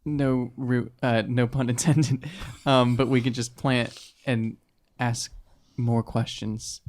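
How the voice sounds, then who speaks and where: A man of medium pitch talks as a player in a tabletop game. His delivery is casual and conversational, with a wry, joking edge. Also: flat delivery, slow pace, a little mellow.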